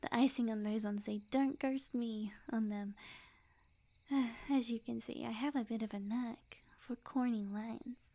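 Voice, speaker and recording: Soft, whispery, sweet yandere witch played by a woman. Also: fairly high pitch, fairly even intonation. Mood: coy, bashful. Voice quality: soft, breathy, often whispered. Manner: coy, unhurried, intimate sweet-talk. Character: sweet, obsessive yandere witch girlfriend, playfully menacing. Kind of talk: ASMR roleplay, soft whispery acting.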